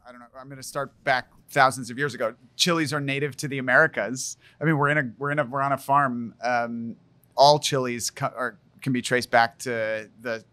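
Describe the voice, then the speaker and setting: A man in his thirties, a guest from a spice company, explaining in a relaxed chat with many ums and restarts, on a studio mic at an indoor farm. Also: medium pitch, dry recording. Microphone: studio mic on boom arm.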